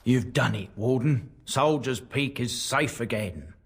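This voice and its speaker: A man's voice: medium pitch, a little slow, breathy edge. An actor playing a blunt, plainspoken male soldier in a game. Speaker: game character. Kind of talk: game voice acting.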